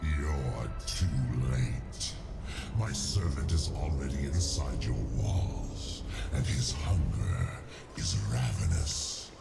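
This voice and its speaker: An actor playing a huge, arrogant, cruel demon lord in a video game, with a guttural, monstrous growl and gloating, threatening delivery. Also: male voice, very deep voice, expressive, slow pace, creaky, exaggerated voice. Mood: menacing gloating. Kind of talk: video game villain acting. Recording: loud game music behind. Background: loud dramatic music.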